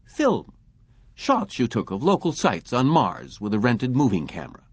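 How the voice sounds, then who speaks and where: A man voicing a smooth, persuasive middle-aged salesman who talks emphatically and genially. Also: medium pitch, wildly swooping pitch, moderate pace, a little mellow, no echo.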